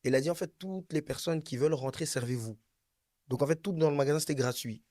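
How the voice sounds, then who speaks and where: A young man tells a wild story in a casual chat. His voice sits a little high and he talks in an animated, slangy way, hammering his points home by repeating them. The sound is close and dry. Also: fast talker, no room sound. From Belgium, Brussels.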